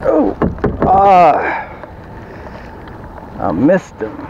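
A man's voice: high voice, huge pitch swings. Man talking to himself while kayak fishing. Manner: talks to himself and his buddy while fishing, quick exclamations. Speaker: fishing vlogger. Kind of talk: casual running commentary. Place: outdoors on a lake in a kayak. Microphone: action camera on the kayak. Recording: dull action-cam audio with water and wind noise.

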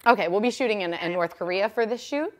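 A woman's voice: mid-range voice, expressive, fast talker. A woman in her twenties improvising a businesslike prank call as a casting producer, recorded in a living room. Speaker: prank caller.

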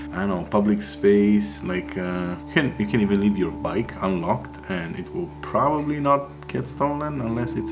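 Man, thirties, low voice, non-native English, from a Mediterranean country, informal sweary vlog chat, prominent guitar music behind. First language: not English. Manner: informal, sweary, exclaims in mock disbelief. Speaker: expat vlogger. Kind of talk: casual chat to camera. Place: small home room, indoors. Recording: loud guitar music underneath. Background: plucked guitar music.

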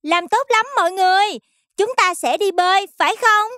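A woman's voice: extremely high pitch, moderate pace, bright, forceful tone, no echo. A woman voicing a helpful, childlike little fox explorer in a children's cartoon, exclaiming and encouraging. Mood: cheerful.

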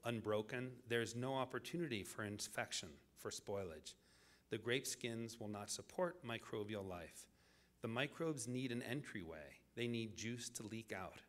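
Man, lecturer, lowish voice, scripted talk, deliberate phrasing, dramatic pauses. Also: fairly bright tone.

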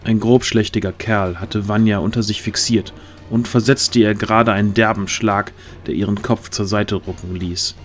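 A man's voice: lowish voice, fairly even intonation, normal speed, faint music behind. A man narrating a scripted fantasy audio drama, reading the story as a narrator.

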